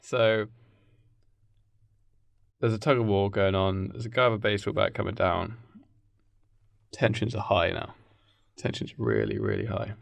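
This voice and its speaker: A man's voice: lowish voice, unhurried, creaky, pauses often, no echo. A man hosting a podcast, casually improvising a story and acting out bits of it as he goes. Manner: animated, acts out bits of the story. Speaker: podcast host.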